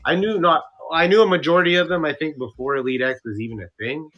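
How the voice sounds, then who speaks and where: A man's voice: on the high side, fast talker, dull audio. A young man from Canada, a streamer guest chatting in an interview, rambling and restarting with lots of fillers. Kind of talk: casual interview chat.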